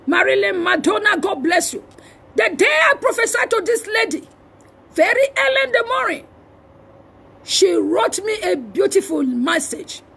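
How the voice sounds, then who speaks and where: A woman with a Nigerian accent preaching a fiery charismatic sermon, forcefully exhorting and often shouting, with emphatic repeated phrases. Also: very high-pitched, gravelly. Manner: shouting delivery. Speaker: preacher and prophet.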